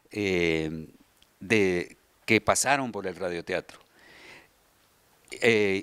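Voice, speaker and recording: A middle-aged man with a Guatemalan accent explaining things in an interview, often pausing to find his words. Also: on the low side, a bit bright, dry recording. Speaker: children's radio theater subdirector and voice announcer. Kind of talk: interview answers, explanatory talk. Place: large hall with seated group, indoors. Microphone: handheld interview microphone.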